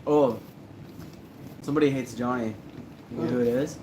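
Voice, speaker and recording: A man hosts a loose, joking livestream and sounds easygoing and a little tipsy. His voice sits at a middle pitch. A steady hiss of room noise runs under everything he says. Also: normal speed, poor audio. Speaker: host of a paranormal channel livestream. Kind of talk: casual livestream chat reading comments.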